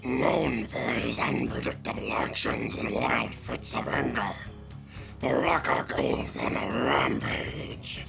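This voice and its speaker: Gravelly, whispering savage mutant. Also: male voice, medium pitch, takes it slowly, over music. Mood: menacing. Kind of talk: dramatic scripted narration.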